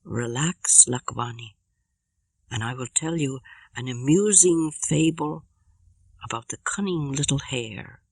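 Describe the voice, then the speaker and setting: A woman's voice: a bass voice, takes it slowly, vocal fry, darkish tone, husky, dry recording, heavily acted. A woman voicing a proud, protective East African warrior in an audiobook, solemn and formal. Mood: soothing. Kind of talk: audiobook character reading.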